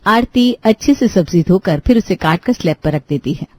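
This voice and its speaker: A woman's voice: lowish voice, lively intonation, moderate pace, darkish tone. A woman reading scripted narration for a children's moral story.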